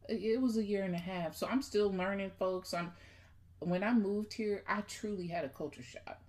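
A woman's voice: mid-range voice, normal speed, a little mellow. A woman from the Midwest hosting a podcast, chatting and telling a story playfully and addressing her listeners directly. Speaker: podcast host. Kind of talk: casual conversational podcast.

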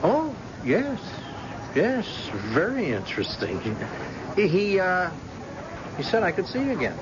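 A man's voice: high voice, huge pitch swings, exaggerated voice. An actor playing a stubborn, cagey middle-aged blind father in an old-time radio drama, with a hesitant, folksy delivery. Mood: cheerfully evasive. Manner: hesitant, folksy, halting interjections. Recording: muffled, noisy old radio recording.

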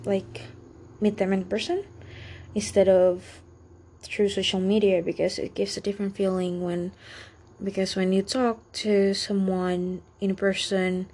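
A young woman with an Indonesian accent, reading practice speaking-exam questions aloud and answering them in English, halting often with fillers and restarts. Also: mid-range voice, level tone, a little mellow, slightly rough, rough recording. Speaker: student taking a speaking exam.

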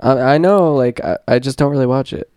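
A young man with a stuffed-up, nasal voice, co-hosting a podcast in loose slangy chatter. His voice sits at an ordinary middle pitch and comes across dry and close, with no room sound. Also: a little fast, a little mellow, smooth, no echo. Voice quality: congested, nasal. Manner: slangy and rambling, heavy on filler words. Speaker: podcast co-host. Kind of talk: casual podcast banter.

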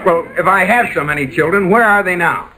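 An actor playing a bewildered, indignant husband in 1940s radio comedy, incredulous and exasperated. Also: male voice, high-pitched, fairly quick, lightly acted. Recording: old radio recording, faint noise.

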